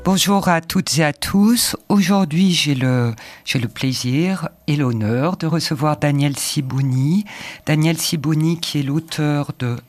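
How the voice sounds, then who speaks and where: A man hosting a radio interview, courteous, prompting his guest with quick summaries. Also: on the high side, soft background music. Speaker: radio host.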